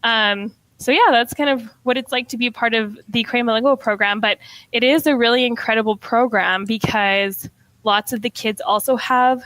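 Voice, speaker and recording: Young woman teacher guest, bright and a bit high, chatty with many fillers, dry close mic. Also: moderate pace, no room sound, low-quality recording. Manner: chatty, fills talk with "like" and "um", laughs often. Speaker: middle school teacher, guest. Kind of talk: casual interview chat.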